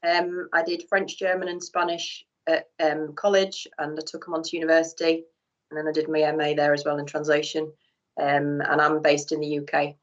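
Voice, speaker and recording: A good-humoured woman speaking on a video call with a slightly low voice. She is self-deprecating and relaxed. Her audio comes over a dull, lo-fi call line. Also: normal speed. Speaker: guest.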